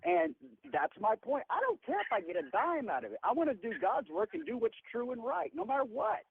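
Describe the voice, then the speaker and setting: A woman's voice: sits high, big pitch swings, brisk, vocal fry, breathy edge. A woman speaking bluntly and earnestly in casual, emphatic talk on a conference call. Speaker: marshal speaking on group call. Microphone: telephone. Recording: tinny phone conference line.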